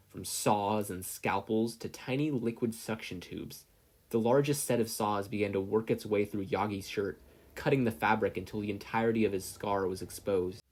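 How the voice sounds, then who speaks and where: A man's voice: on the low side, a little mellow. A man reading scripted fiction aloud as a story narrator. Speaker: podfic reader.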